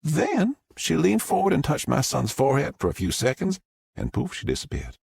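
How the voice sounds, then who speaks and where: A man voicing an excitable middle-aged Southern mother who rambles through her story in a drawl. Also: on the high side, moderate pace, vocal fry, husky, no echo, studio quality, pitched above the performer's own voice, exaggerated voice. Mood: awed. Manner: rambling, breathless storytelling with restarts.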